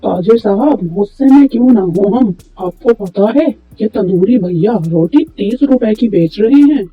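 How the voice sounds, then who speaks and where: A man's voice: high-pitched, animated, measured pace, husky, full character voice. A man playing an indignant villager in a cartoon story, with an emphatic, complaining way of talking. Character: indignant male villager complaining about bread prices. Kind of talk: Urdu moral cartoon story dialogue. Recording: dull lo-fi sound, music bed.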